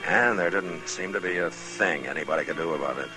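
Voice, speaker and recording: A calm American frontier marshal played by a male actor speaks in a low, deep voice with few words to spare. It is old-time radio western acting, on a vintage recording with music. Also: fairly quick, natural voice. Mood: somber, measured. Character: calm, laconic frontier US marshal, grown man. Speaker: lead actor and narrator.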